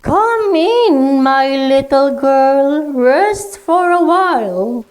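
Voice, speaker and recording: Woman as high-voiced, sugary wolf in disguise. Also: animated, extremely slow, darkish tone, heavily acted. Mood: falsely tender. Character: wolf posing sweetly as others to trick prey. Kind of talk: children's radio drama acting.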